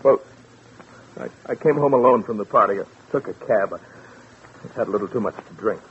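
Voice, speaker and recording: An actor playing a frightened, confused and trusting murder suspect in a 1940s radio drama, stammering and pleading. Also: male voice, fairly low pitch, expressive, brisk, close to an everyday voice. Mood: panicky, confused. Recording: tinny, noisy old radio recording.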